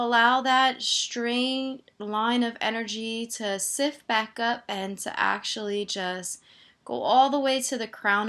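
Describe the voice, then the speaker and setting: A woman meditation teacher gently guiding a body scan, soothing and leaving pauses between her instructions. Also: a little high.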